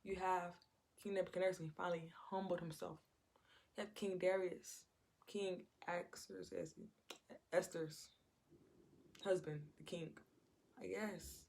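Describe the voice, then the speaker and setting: A woman's voice: lowish voice, gravelly, a little mellow. A woman giving an informal Bible teaching in a conversational way, repeating her phrases and acting out quotes. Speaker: preacher.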